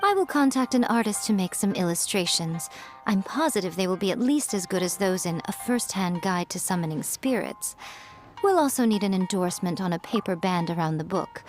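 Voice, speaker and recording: A woman voicing a sly, confident fox-spirit lady who runs a publishing house, in a smooth, poised, teasing manner. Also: mid-range voice, expressive, a little fast, soft background music, lightly acted. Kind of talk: video game voice acting.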